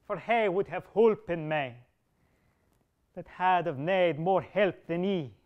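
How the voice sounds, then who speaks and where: A man's voice: sits high, slow, muffled recording. A man voicing a sorrowful loyal knight who mourns in archaic, heartfelt phrases. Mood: grieving. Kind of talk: reading a medieval tale aloud.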